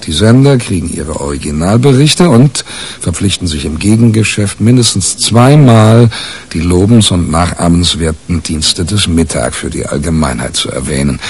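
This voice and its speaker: A man's voice: deep voice, normal speed, a little mellow. An actor playing a wry, cheeky newspaperman who narrates a radio crime drama in a sardonic, colloquial way. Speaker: narrator and main character.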